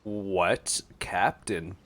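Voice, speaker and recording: Low-voiced man giving casual game commentary. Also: drawn-out words, fairly bright tone. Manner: wry, joking asides. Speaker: gameplay streamer. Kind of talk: casual gameplay reaction commentary. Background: faint game music.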